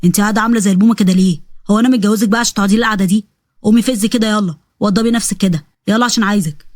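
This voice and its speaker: A woman's voice: medium pitch, steady tone, rapid-fire, a little mellow, no room sound, studio quality, close to an everyday voice. A woman with an Egyptian accent voicing a cruel, domineering husband who talks in an insulting, bossy way. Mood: contemptuous.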